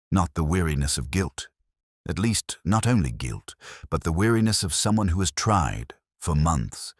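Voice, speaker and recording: A man playing an ageing, melancholy detective who narrates his own story in a measured, reflective, introspective way, as scripted literary drama. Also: a bass voice, husky, no room sound, studio quality, full character voice. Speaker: first-person narrator.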